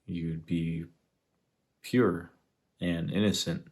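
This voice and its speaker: A man leading a guided meditation, speaking in an unhurried, reflective way with long pauses between phrases. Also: sits low, steady tone, a little mellow. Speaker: meditation guide, spiritual teacher. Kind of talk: guided meditation, contemplative teaching.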